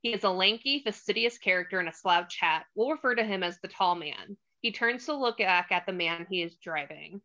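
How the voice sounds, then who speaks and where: A woman narrator reads stage directions from a script in a bright, crisp-edged voice of medium pitch. The recording is slightly dull and lo-fi. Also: lively intonation, a little fast, few pauses.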